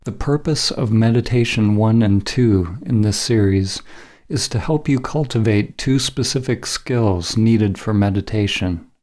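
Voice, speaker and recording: A man narrating a scripted guided meditation in a measured, solemn, instructional manner as the guide. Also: fairly low pitch, darkish tone.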